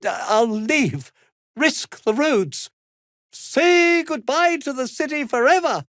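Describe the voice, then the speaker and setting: An actor playing a pompous, jittery, self-serving merchant in a video game, speaking with a British accent in a haughty, indignant manner that falters nervously. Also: male voice, extremely high pitch, sing-song, slow, fairly bright tone, no room sound, studio quality, heavily acted. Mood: nervous, bargaining. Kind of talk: video game dramatic acting.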